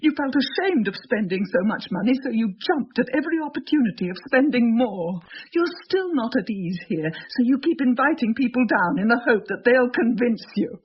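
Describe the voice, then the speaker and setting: Firm, high-voiced, candid wife. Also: female voice, expressive, husky. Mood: coolly accusing. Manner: firm, deliberate, articulate. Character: Rachel, cool, candid wife bluntly analysing her husband. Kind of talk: radio drama acting. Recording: dull old radio drama recording.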